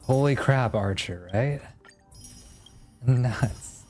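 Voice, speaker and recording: A man's voice: mid-range voice, lively intonation, drawn-out words, a little mellow. A man streaming a video game with casual live commentary, tossing out exclamations and muttering as he reads along. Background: faint game music.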